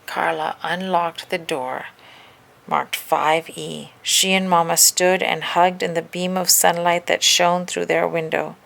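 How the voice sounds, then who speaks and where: A woman narrating a children's picture book aloud in an expressive, scripted storytelling style. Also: fairly low pitch, measured pace, gravelly.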